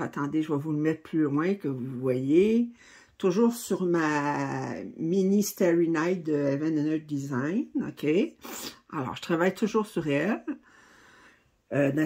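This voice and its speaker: Husky Québécois woman, low voice. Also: darkish tone. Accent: Québécois French. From Quebec, Canada. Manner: chatty, rambling, with many fillers and restarts. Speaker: craft channel host. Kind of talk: casual chat to camera.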